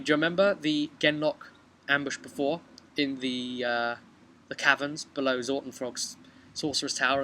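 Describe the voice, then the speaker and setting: A young man telling a casual, halting recap of a tabletop game to camera as the game master, speaking outdoors. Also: mid-range voice, bright, forceful tone, a little hiss or hum. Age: young adult. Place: outdoors on a garden bench by a brick house.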